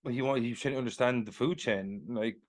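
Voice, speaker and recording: A man co-hosting a casual film chat, chiming in with quick agreement and jokes. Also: medium pitch. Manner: quick agreeing interjections, laughs, jokey. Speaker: podcast co-host.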